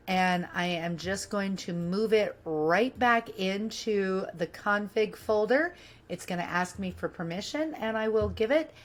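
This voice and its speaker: A middle-aged woman hosting a casual screen-recorded tutorial, chatty and friendly as she explains each step, recorded on a webcam microphone in a small room. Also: medium pitch. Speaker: tutorial host.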